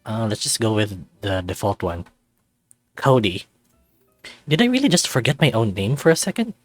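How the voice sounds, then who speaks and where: A man's voice: on the low side, big pitch swings, moderate pace, dry recording, faint music behind. A man voicing a sarcastic, anxious young furry student in a visual novel, narrating in a wry, self-deprecating, conversational way. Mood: fretful. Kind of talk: visual novel character voice-over.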